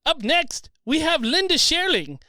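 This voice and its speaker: Guy doing a super high pitched news interviewer, swoopy, earnest n formal, comedy sketch. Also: very animated, pushed and bright, no room sound, heavily acted. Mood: politely puzzled. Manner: formal, measured questioning. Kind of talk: comic mock news interview.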